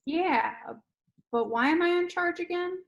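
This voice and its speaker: A woman's voice: very high-pitched, expressive, measured pace, vocal fry, lo-fi sound, full character voice. A woman playing a wary, questioning teenage superhero daughter in an amateur table read. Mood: doubtful.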